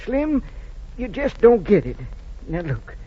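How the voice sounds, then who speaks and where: An actor playing a loyal, plain-spoken ranch hand in 1940s radio drama, folksy and insistent, with rustic grammar. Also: male voice, sits high, big pitch swings, slow, dark tone, husky, full character voice. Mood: earnest, insistent. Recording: muffled noisy old radio recording.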